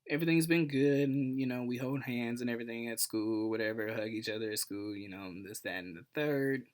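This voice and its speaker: A man telling a personal story casually as a vlog host, in an informal, animated way with self-deprecating humor. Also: mid-range voice, fairly quick. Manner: animated retelling, acts out dialogue. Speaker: vlogger, channel host.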